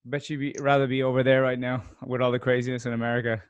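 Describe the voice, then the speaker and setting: A man hosting an interview, chatty and curious, asking relaxed questions. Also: medium pitch, fast, clear, resonant tone, lo-fi sound. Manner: chatty, curious, drops in learned phrases. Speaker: host. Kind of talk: casual interview chat.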